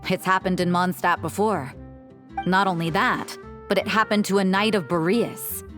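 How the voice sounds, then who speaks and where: A woman's voice: lowish voice, steady tone, normal speed, a bit bright, soft background music, lightly acted. A woman voicing a cool, blunt young nun in a video game, with a dry, matter-of-fact delivery.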